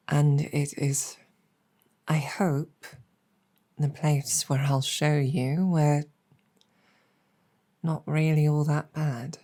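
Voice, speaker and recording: Woman as a low, unhurried, courteous demon general. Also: heavily acted. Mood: gracious, earnest. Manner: soft-spoken, hesitant, measured, wry. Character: female demon general, courteous, wry, gracious host. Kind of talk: improvised ASMR roleplay storytelling.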